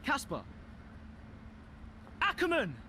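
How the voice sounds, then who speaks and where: A man's voice: very high-pitched, huge pitch swings, slow, heavily acted. A man playing an earnest, easily frustrated young rookie racing driver in a video game, sounding defensive. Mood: angry. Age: young adult. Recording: noisy outdoor paddock with vehicles.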